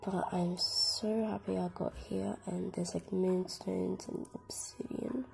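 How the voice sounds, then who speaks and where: A young girl with a croaky voice from a cold, softly rambling through a tour of her game with lots of "like" and "um". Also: lowish voice, little pitch movement, slow pace, background noise. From Australia. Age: child. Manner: soft, half-whispered, rambling with many "like" and "um". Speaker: gaming channel host. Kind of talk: casual game tour commentary. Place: at home, filming a laptop screen. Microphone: phone camera.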